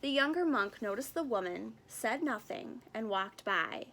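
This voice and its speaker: A woman reading a storybook aloud in an expressive narrating style with careful phrasing. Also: fairly high pitch, unhurried, slight background noise. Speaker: storybook reader.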